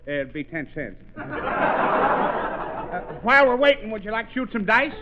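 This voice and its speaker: A man's voice: high voice, very animated, unhurried, dull audio. A man playing a jovial, money-grubbing, slangy father in a radio comedy of the 1930s. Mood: wheedling. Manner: hucksterish, colloquial, slangy. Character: money-grubbing, jovial, folksy father hustling his daughter's dates. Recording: noisy old radio recording, loud studio audience.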